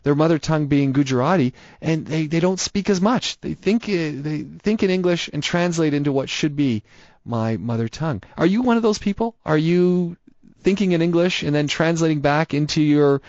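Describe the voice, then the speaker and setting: A man's voice: fairly high pitch, normal speed, darkish tone, dry recording, muffled recording. A man hosting a radio call-in show, musing aloud, repeating words and asking listeners many questions.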